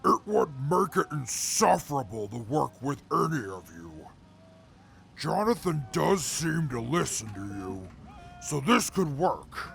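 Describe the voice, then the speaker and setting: Casting: actor as haughty wasteland crewman, pompous, slow, heavy vocal fry, radio drama. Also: a little high, sing-song, fairly bright tone, full character voice. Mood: disdainful. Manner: pompous, formal wording. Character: haughty, disdainful, pompous male wasteland crew member. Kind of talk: audio drama acting.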